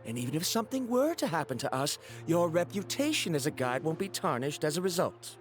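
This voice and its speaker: An actor playing a formal, stubborn scholarly traveller in a video game, speaking in polite, measured phrases. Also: male voice, a little high, sing-song, soft background music. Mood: formal persuasion.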